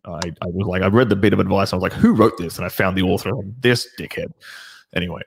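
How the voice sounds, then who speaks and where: A man with a deep voice, a guest dating coach talking confidently in casual chat, often rambling and restarting. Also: quick pace, gravelly, slight background noise.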